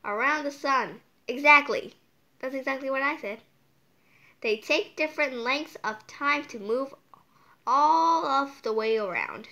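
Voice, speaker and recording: Female storytime host, higher voice, unhurried pace, casual and whimsical, wanders into playful tangents. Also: creaky, sharp tone. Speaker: storytime read-aloud host. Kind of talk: casual read-aloud with rambling playful asides.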